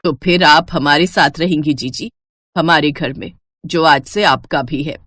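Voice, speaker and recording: A woman voicing a kindly middle-aged mother with a soft, hesitant way of talking. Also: deep voice, measured pace, a little hiss or hum, pitched below the performer's own voice.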